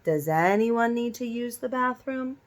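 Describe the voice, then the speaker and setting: A woman voicing a practical, hearty father in a storybook, loud and emphatic. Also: on the high side, expressive, unhurried. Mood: encouraging.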